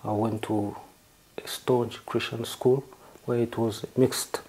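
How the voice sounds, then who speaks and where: A man from southern Uganda with a Ugandan accent, answering interview questions in halting, formally worded phrases. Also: medium pitch, level tone, dark tone, slightly rough. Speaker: interview guest.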